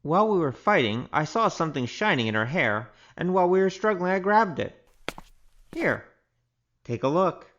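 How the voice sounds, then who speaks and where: A cocky young adventurer , acted by a man, breezy and wry, with a very lively voice. He is chatty and confiding as an audio drama storyteller, on a slightly dull recording. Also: a little high, moderate pace. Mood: upbeat. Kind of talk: audio drama acting and narration.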